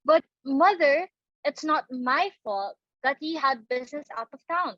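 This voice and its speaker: A woman's voice: sits high, unhurried, a bit bright, no room sound, highs cut off. A woman playing a timid, meek young daughter with a hesitant, mild manner in a dramatic reading of a stage comedy. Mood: timid, protesting.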